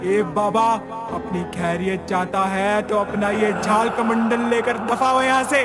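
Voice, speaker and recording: A performer voicing a vengeful pregnant witch in an animated story, brash and taunting, with menacing, slangy delivery. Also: medium pitch, lightly acted. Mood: brash annoyance. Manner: taunting, slangy, drawn-out threats. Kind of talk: Hindi animated moral story voice acting. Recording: loud music behind the voice.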